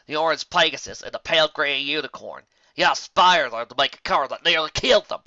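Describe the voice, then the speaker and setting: A narrator playing an angry female farm pony, blunt and accusing, with a confrontational, drawn-out delivery. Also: medium pitch, expressive, bright, forceful tone, no room sound, muffled recording, pitched above the performer's own voice.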